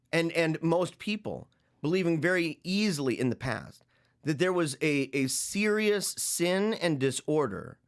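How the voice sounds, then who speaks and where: Host, male, forties, fairly high voice; unscripted, meandering reflection with restarts. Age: 40s. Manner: rambling, halting, repeats phrases while thinking aloud. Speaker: podcast host discussing a book. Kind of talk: reflective solo commentary.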